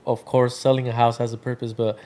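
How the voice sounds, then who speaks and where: Venezuelan guy in his 30s, laid back, lots of likes and ums, middle pitch. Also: fairly quick. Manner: relaxed, rambling, many fillers. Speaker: guest, drink brand founder. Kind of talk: casual interview chat.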